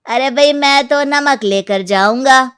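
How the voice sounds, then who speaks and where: A woman's voice: high-pitched, slow, a bit bright, smooth, voice pushed up. Woman voicing an eager, cheeky young boy. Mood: smug. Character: eager young boys, cheeky and sure of themselves.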